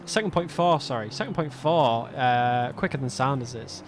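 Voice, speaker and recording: A young man commentating a sim race alone, chatty and self-mocking, laughing often, his voice going a little hoarse. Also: medium pitch, expressive, normal speed, noisy background. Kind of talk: solo sim racing commentary. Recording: game car sounds behind.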